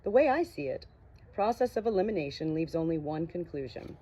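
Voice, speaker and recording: A woman's voice: low voice, lively intonation, a little fast, mellow, slightly rough. A woman voicing an eager, earnest young man in an audiobook, speaking casually and emphatically. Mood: earnest, reasoning.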